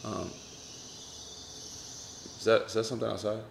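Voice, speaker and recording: A man hosting a casual interview show, talking in relaxed slang with hype in his voice. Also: fairly low pitch, normal speed, creaky, a little hiss or hum. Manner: hyped, laid-back slang talker. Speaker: podcast host.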